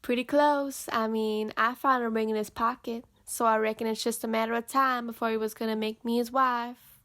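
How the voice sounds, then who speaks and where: A woman's voice: fairly high pitch, steady tone, normal speed, fairly bright tone. An actress playing a young rancher's daughter who speaks dreamily about her sweetheart. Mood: dreamy.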